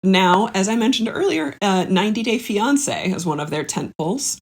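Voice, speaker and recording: A woman's voice: mid-range voice, animated, moderate pace. A woman with a mid-range voice talks casually on a comedy podcast. She is a guest comedian leading the others through a game, in loose, conversational speech.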